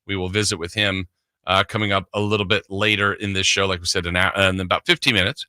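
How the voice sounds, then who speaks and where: A man's voice: low-pitched, level tone, fast talker, pushed and bright, dry recording, studio quality. A man hosting a sports radio show, talking casually and thinking aloud in a conversational way. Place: radio studio. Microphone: close broadcast studio mic.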